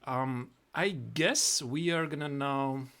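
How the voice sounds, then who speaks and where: A man's voice: medium pitch, slow pace, fairly bright tone. A man with a Latvian accent chatting casually on a live chess stream, repeating and restarting his words.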